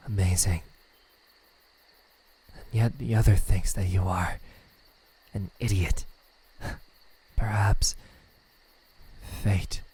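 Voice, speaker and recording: A man voicing a lonely, tender demon in love in an ASMR roleplay, speaking softly and haltingly, often near a whisper. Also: low voice, a little hiss or hum. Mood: bashful, wondering. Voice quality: breathy, soft, half-whispered. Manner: hesitant, halting, stammering. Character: lovesick male demon, lonely, tender, self-doubting.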